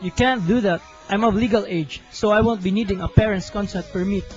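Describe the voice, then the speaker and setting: A lovestruck, self-sacrificing young man, acted by a young man in an amateur radio play. His voice is high and earnest, given to melodrama. The sound is dull, with faint music underneath. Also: fairly quick, darkish tone, natural voice. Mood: earnest, defiant. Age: young adult. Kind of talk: student radio drama acting. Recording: muffled amateur recording, music behind.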